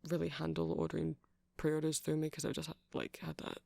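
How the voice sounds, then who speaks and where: Man playing a creaky-voiced nerdy vampire shopkeeper. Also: fairly high pitch, a little fast, dry recording, clean studio sound. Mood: eager, helpful. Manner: hesitant, apologetic, excitable rambling. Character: nerdy male vampire music-shop keeper, eager and rambling. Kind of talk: ASMR roleplay, casual chat.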